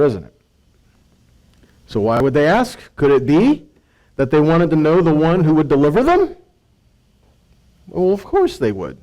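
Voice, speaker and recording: A man's voice: on the high side, warm tone, low-quality recording. A man giving an informal Bible teaching, reading scripture and putting rhetorical questions to his listeners.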